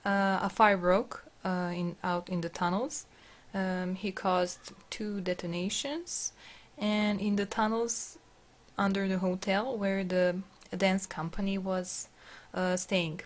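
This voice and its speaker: A woman from Serbia with a Serbian accent, reading a text aloud and translating it, halting as she searches for words. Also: medium pitch, steady tone. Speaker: video narrator.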